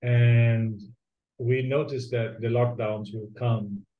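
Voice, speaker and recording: A man's voice: mid-range voice, steady tone, slow, phone-quality sound, a little hiss or hum. A man from Brazil with a Brazilian accent, a native Portuguese speaker, answering conversationally as an interview guest. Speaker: podcast guest, philosopher of ecology.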